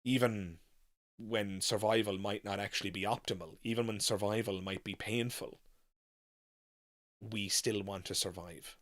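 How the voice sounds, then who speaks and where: A man's voice: lowish voice, steady tone, unhurried, fairly bright tone. A man in his thirties hosting a casual live chat, musing in a rambling way and repeating phrases for emphasis. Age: 30s. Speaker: livestream podcast host.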